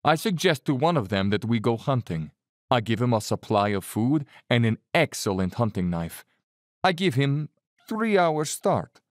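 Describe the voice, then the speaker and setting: A man acts out an aristocratic Cossack general in an audio drama, a hunter with no conscience. He is smooth-mannered and condescending, never hurried. His pitch sweeps theatrically in a dry, close recording. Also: sing-song, no echo, natural voice. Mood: blandly relishing. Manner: urbane, bland, condescending, theatrically unhurried. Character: suave, cold-blooded aristocratic Cossack general, fanatical big-game hunter.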